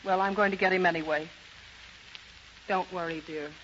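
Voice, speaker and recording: A woman's voice: on the low side, fairly even intonation, normal speed, lightly acted. Woman acting guarded, protective gambling hostess. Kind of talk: 1930s radio drama acting. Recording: dull, noisy 1930s radio recording.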